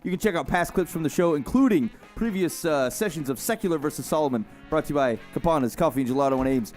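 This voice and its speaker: Man, radio host, slightly high voice, teasing and laughing, faint music behind. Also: lively intonation, fast talker, a little mellow. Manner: playful teasing, laughs often. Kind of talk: casual banter and show outro.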